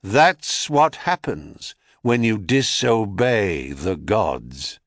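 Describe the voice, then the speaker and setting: A man's voice: lowish voice, big pitch swings, extremely slow, a bit bright, no room sound, clean studio sound, full character voice. A man voicing a haughty, wrathful queen goddess who speaks with icy command. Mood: icy imperious. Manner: icy, imperious.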